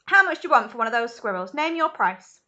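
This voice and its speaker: A woman's voice: a little high, sing-song, fast, sharp tone, highs cut off. A woman voicing a pompous, self-important rich father in a storybook reading.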